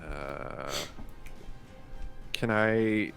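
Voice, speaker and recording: Male tabletop player, lowish animated voice, hesitant casual delivery with music underneath. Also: creaky. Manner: hesitant, halting. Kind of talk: casual game-table chat.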